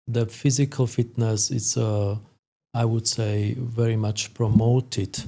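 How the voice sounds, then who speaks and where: Male expert guest, 50s, Slovak accent, gravelly and mellow, halting delivery. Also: medium pitch, steady tone. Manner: hesitant, halting phrasing. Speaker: sports psychologist, podcast guest. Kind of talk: interview conversation, explanatory.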